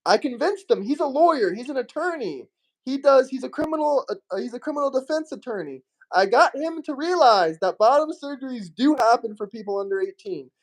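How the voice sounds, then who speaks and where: A man's voice: very high voice, animated, brisk, low-quality recording. A man hosting a live-stream debate, blunt and profane, with a slight lisp, reading viewer messages aloud. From Florida. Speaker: streamer host.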